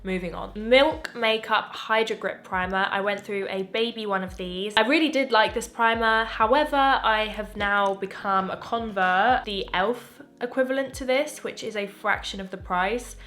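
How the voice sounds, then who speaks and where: A woman's voice: medium pitch, moderate pace, hardly any pauses, a bit bright. A young English woman chatting through makeup reviews at home, gushing and chatty, her voice a little strained. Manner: gushing, chatty, self-interrupting. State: voice slightly going. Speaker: beauty vlogger. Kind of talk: casual chatty product review.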